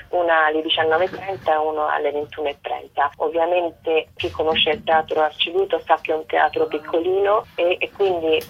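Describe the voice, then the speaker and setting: A woman's voice: sits low, breathy edge. A woman, interviewed over the telephone as a stage author and actress promoting her own show. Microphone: phone call. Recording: muffled, narrow telephone line.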